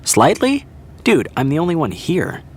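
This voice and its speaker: A man's voice: fairly high pitch, wildly swooping pitch, normal speed, breathy edge, exaggerated voice. An actor playing a wry, lonely young man in an audio drama.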